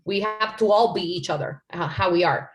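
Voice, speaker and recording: A woman's voice: on the low side, moderate pace. A woman from Puerto Rico answering questions on a panel, earnest and conversational, often adding "like" and "right?". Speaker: panel speaker.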